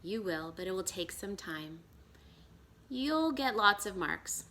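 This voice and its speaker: A large, bearded, fatherly maker from a storybook, as a woman reader puts him on, kindly and reassuring. The words come deliberately in a bright voice. Faint noise sits behind it. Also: mid-range voice, lively intonation. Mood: tender, firm. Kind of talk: children's storybook read-aloud.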